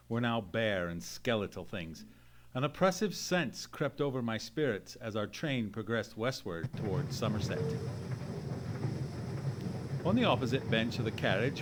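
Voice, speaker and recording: An actor playing a loyal, sceptical Victorian man of science in an old-time radio mystery play, speaking in an earnest, measured, formal manner. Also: medium pitch, natural voice. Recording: noisy live theatre stage recording.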